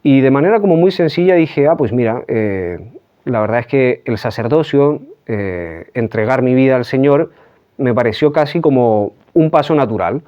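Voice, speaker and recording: A Chilean man in his thirties speaks softly, pausing often and padding his thoughts with fillers as he looks back on his path. His voice sits in a middle register. The audio is a little dull and muffled. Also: mid-range voice, lively intonation, clear, resonant tone. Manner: soft-spoken, halting, many fillers. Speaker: priest. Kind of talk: reflective interview testimony.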